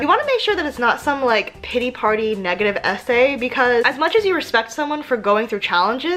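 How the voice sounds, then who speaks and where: A woman's voice: a little high, a bit bright. A woman in her twenties hosting an advice vlog, chatty and slangy, with a self-mocking streak. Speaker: vlog host giving application advice. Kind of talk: casual advice vlog with read-aloud passages.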